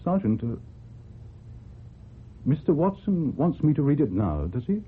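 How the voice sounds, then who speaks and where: An actor playing a courteous but insistent solicitor with a British accent, formal and a little hesitant, in a radio drama. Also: male voice, fairly high pitch, very animated, a little slow, slight background noise. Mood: courteous, questioning. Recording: muffled, narrow old radio recording.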